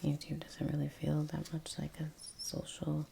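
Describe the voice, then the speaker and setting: A woman softly whispering in a breathy voice, chatting in an unhurried, murmuring ASMR style as she works. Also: deep voice, monotone, slight background noise. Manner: unhurried, trailing off, murmured musing. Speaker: artist, ASMR video creator. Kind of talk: soft-spoken ASMR painting chat. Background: soft brush and painting sounds.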